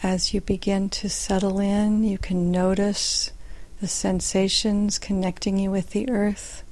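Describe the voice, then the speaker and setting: A woman guiding a meditation with gentle, soothing, unhurried instructions and long pauses, in a soft and at times breathy voice. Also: mid-range voice. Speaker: meditation guide. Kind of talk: guided meditation.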